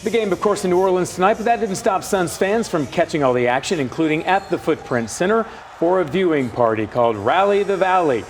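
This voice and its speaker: An American man reads the TV news in a somewhat high voice. His delivery is scripted anchor style, with faint music behind him. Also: a little fast.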